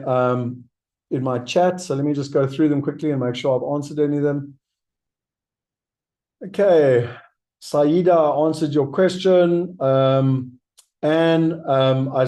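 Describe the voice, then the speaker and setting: South african guy hosting a webinar, warm mellow tone, keeps repeating his points, lots of ums. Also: fairly high pitch. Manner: emphatic, repeats key points, frequent ums. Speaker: webinar host answering questions. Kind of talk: live webinar Q&A sales pitch.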